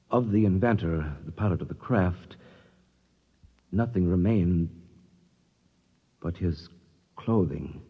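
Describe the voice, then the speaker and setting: Low-voiced, stuffy corporate official. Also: male voice, slightly rough, exaggerated voice. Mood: solemn reading. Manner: formal, officious, stammering. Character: flustered, stuffy male corporate official defending himself. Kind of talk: 1950s radio drama acting. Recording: dull, reverberant 1950s radio recording.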